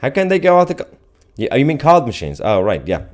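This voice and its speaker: A man's voice: mid-range voice, huge pitch swings, quick pace. A man hosting a live stream in an informal, off-the-cuff way, reading out viewer comments and giving casual commentary.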